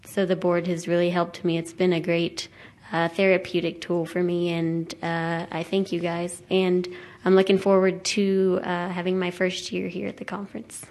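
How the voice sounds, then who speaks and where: Woman sharing her story with a group, lowish voice, pretty flat, lots of halting. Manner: hesitant, halting. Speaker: attendee sharing personal story at a conference. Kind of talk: personal testimony to a group.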